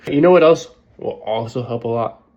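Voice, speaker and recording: A man's voice: mid-range voice, normal speed, warm tone, muffled recording. A congested man talking casually to his viewers about motivation, often checking in with "right?". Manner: conversational, keeps asking "right?". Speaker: vlogger. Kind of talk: casual motivational talk to camera.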